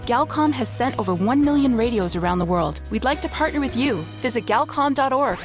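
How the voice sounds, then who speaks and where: A woman's voice: on the high side, expressive, fairly quick. A woman reading scripted promotional narration as an ad announcer. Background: music.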